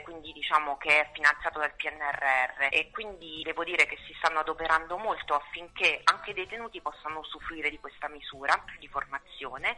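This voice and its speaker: A woman giving explanatory answers as an interview guest over a telephone line. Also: low-pitched. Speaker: interview guest working in prison vocational training. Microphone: phone call. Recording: thin, sharp telephone line.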